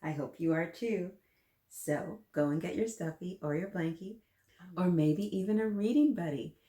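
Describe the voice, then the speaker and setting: Female children's story host, slightly low register, read-aloud with chatty, encouraging asides; echoing room recording. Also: moderate pace, warm tone. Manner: encouraging, addresses listeners directly with simple questions. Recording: roomy, echoing room sound.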